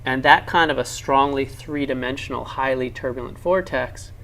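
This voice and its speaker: A man's voice: medium pitch, normal speed, a bit bright. A man narrating laboratory science demonstrations for an educational film, explaining with enthusiasm and emphasis.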